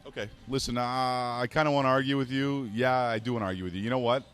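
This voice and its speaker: A man's voice: medium pitch, steady tone, a bit bright, smooth. A man from Montreal hosting a fantasy sports radio show in casual, joking chat. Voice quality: joking, brisk. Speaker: podcast host.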